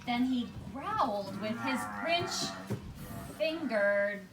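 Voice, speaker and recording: A woman's voice: a little high, expressive, takes it slowly. A woman narrating a rhyming satirical puppet show with a theatrical storybook delivery. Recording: echoey room, distant mic, loud music. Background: loud music.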